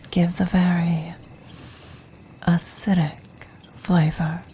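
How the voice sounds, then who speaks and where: A woman voicing an ancient, commanding vampire matriarch in a whispered ASMR fantasy roleplay, refined, breathy and formal. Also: on the low side, dark tone, a little hiss or hum. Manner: formal, measured, aristocratic.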